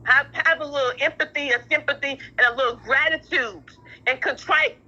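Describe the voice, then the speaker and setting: A woman's voice: high voice, fairly even intonation, fairly quick, sharp tone. A middle-aged woman talking bluntly and emphatically in a heated casual phone conversation, often repeating herself and swearing. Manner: emphatic, repetitive, blunt with profanity. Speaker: parent in a phone discussion. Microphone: phone call. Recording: muffled telephone-like audio.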